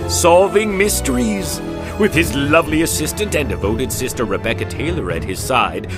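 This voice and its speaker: A man narrates an audio serial with grand, theatrical flair. His pitch is a little high and sweeps up and down dramatically. Music plays behind him. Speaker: announcer and narrator. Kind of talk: theatrical old-time radio serial narration. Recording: music under the voice.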